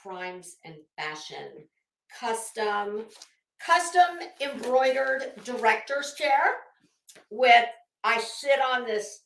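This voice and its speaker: Middle aged lady on a livestream, kinda high voice, sassy and sweary, roomy echo. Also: animated, takes it slowly, a bit bright. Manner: sassy, profane, wry. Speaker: livestream host. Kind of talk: casual livestream chat, rambling storytelling.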